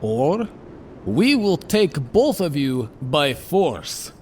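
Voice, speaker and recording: A man playing a stern male superhero leader who makes formal, measured demands. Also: fairly high pitch, very animated, darkish tone, a little hiss or hum, full character voice. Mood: commanding. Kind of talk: fan audio drama acting. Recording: voice over music score.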